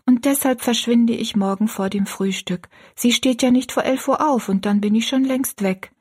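A woman voicing a timid, earnest little orphan girl in an audiobook story, with a soft, breathy voice. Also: a little high, moderate pace, voice pushed up. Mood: determined. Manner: fearful, earnest.

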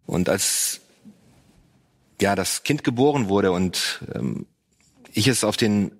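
A man with a low voice speaks as a guest on a faith panel. He is reflective and halting, filling gaps with hesitations. He sounds like a pastor thinking aloud. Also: expressive. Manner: reflective, halting, with many fillers. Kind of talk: panel discussion on parenting and faith.

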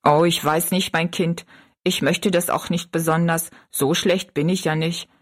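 A woman's voice: on the low side, steady tone, a little slow. A woman voicing a self-righteous, respectable old mother in a storybook, with a dismissive manner.

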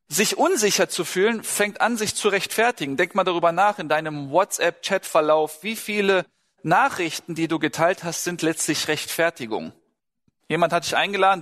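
A man's voice: a little high, fairly bright tone. A man preaching a sermon in a conversational way, asking the congregation questions and telling personal stories. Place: church hall. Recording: long hall reverb.

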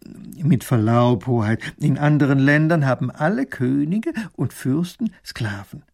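A man voicing an obsequious ship captain who flatters with flowery courtly phrases. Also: mid-range voice, measured pace, creaky. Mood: fawning.

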